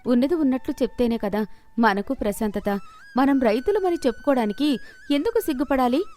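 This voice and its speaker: A woman as a high-voiced, candid young wife. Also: animated, a little fast, warm tone, soft background music. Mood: puzzled. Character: honest, innocent young daughter-in-law, gently questioning.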